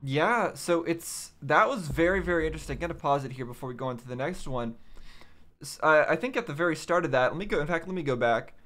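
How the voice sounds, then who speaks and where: A man's voice: on the high side, lively intonation, fast, fairly bright tone. A man hosting a reaction video, commenting casually and hesitantly as he thinks aloud. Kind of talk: casual commentary on game cutscenes.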